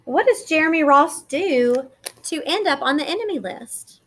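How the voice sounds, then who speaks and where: A woman reading a children's picture book aloud, expressively, with deliberate emphasis and questions put to the listener. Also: high-pitched. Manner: animated, deliberate emphasis, pausing to ask questions.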